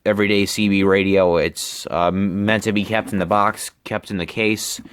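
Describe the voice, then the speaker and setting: A young man giving a casual show-and-tell, talking haltingly and often repeating his words. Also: on the low side, level tone, a bit bright, smooth, dry recording, clean studio sound. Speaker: hobbyist presenter. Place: bedroom, indoors. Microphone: handheld camera, close behind it.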